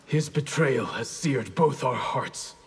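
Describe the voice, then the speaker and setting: Honorable stern ninja clan boss, solemn, bit husky, echoey video game sound. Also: male voice, medium pitch, animated, full character voice. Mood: solemn, resolute. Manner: solemn, formal, measured. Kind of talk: dramatic fighting game dialogue. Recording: reverberant hall-like game mix.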